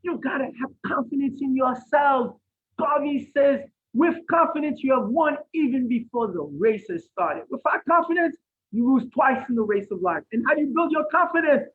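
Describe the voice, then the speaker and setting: A Haitian-born man giving an impassioned lecture over a video call, asking rhetorical questions and rising to near shouts. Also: very high voice, animated, normal speed. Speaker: guest speaker. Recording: phone-like line from an online event.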